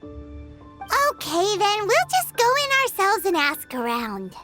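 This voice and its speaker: Game fairy sidekick: squeaky, childlike, excitable, very high, unhurried delivery. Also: female voice, over music, heavily acted. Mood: chirpy, curious. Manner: refers to herself by name. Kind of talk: video game character acting.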